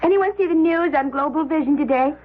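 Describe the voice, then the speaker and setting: An actress playing a haughty, jealous, sharp-tongued woman in a radio drama, snappish and accusing. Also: high voice, fairly quick, narrow, tinny audio, natural voice. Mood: cool.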